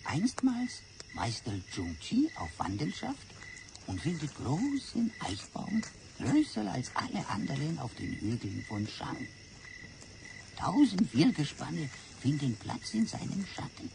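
Slow, creaky Chinese sage. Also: male voice, fairly high pitch, wildly swooping pitch, noisy background, heavily acted. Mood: solemn storytelling. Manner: broken syntax, swapped r and l, deliberate storytelling. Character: Chinese sage-like man telling an old fable, solemn. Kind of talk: radio play acting.

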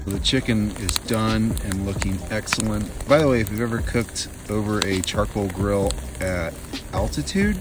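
A man in his forties talking casually and matter-of-factly to a handheld camera outdoors, explaining things in a camping vlog. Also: deep voice. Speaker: outdoor vlogger. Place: outdoors at a snowy campsite.

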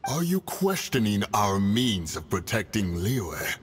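An actor playing a solemn, ancient immortal beast in a game, speaking gravely and formally. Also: male voice, lowish voice, big pitch swings, slow, slight background noise. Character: solemn, ancient immortal stag spirit, male. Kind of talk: game cutscene voice acting. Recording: faint music behind the voice.

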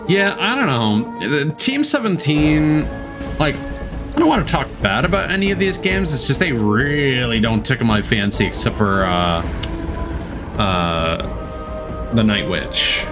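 A man's voice: mid-range voice, big pitch swings, a little slow. A man doing casual live reaction commentary in a blunt, informal, slangy way. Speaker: streamer. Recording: game trailer audio behind. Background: trailer music.

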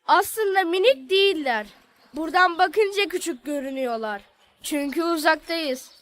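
Cartoon big brother: young boy, very high-pitched, sensible, helpful, explains simply. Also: animated, moderate pace, fairly bright tone. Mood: matter-of-fact. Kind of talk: children's cartoon dialogue.

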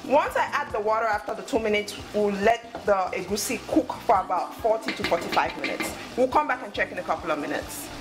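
A woman's voice: medium pitch, expressive, a little fast, hardly any pauses, low-quality recording. A woman hosting a cooking show, giving step-by-step instructions in short phrases. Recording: music under the voice.

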